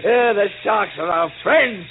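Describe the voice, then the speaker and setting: An actor playing a gleefully cruel, taunting lighthouse keeper in an old-time radio horror drama. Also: male voice, high-pitched, lively intonation, unhurried, creaky, heavily acted. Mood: cruel glee. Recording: tinny, very noisy old radio recording.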